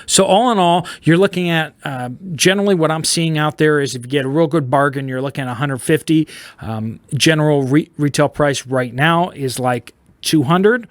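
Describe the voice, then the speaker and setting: Higher-pitched man, casual gear review. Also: moderate pace, no room sound. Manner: plain-spoken, informal layman's explanations. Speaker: host of a prepper radio review channel. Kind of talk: casual product review, explaining to viewers.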